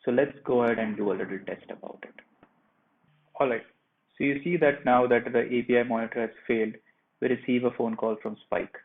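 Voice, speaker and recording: A man presenting a software tutorial, narrating each step of a screen share in a plain, instructional way. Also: mid-range voice, fairly even intonation, fairly quick, creaky. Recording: muffled phone-like narrow band.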